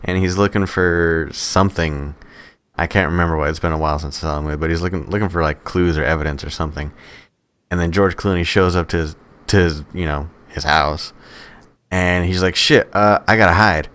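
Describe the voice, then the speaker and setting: A man's voice: low voice, fairly even intonation, fairly quick, a bit bright, smooth, dry recording. A man co-hosting a podcast, chatting casually about films and telling stories conversationally with some swearing. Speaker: podcast co-host. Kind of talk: casual movie banter.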